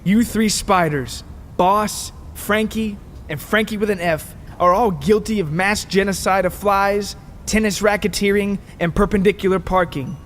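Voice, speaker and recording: A man's voice: sits high, measured pace, fairly bright tone, slight background noise, voice pushed up. Man as Robert, a grim, declaiming exterminator. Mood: grim, pronouncing. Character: Robert, grim undercover punk exterminator sentencing villains.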